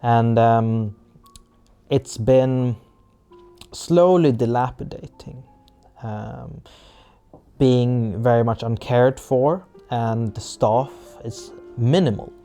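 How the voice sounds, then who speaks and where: Male game master, unhurried and dark-toned, improvising scene descriptions haltingly. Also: medium pitch. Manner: halting, searching for words. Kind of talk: improvised scene-setting for a tabletop roleplay audio drama.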